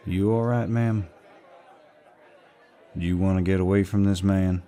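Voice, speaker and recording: A man acts out a courteous, protective Southern gentleman for an audio roleplay. His voice is very low and he takes his time with a slow Southern drawl. He is polite and old-fashioned yet firmly in command, with a faint bar crowd in the background. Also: steady tone. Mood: calm, firm. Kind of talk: roleplay audio acting, intimate and scripted.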